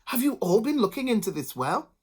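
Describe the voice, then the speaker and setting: A woman voicing a calm, knowing male traveller who speaks in a measured way. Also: on the high side, husky, voice pushed up. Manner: measured, storytelling. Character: wise, calm travelling stranger, a man.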